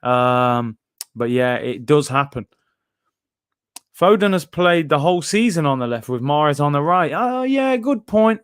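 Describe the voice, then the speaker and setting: A man's voice: fairly high pitch, expressive, unhurried, smooth, dry recording, clean studio sound. A young man hosting a casual live stream, chatting loosely and reading out viewer comments. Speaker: watchalong host reading chat.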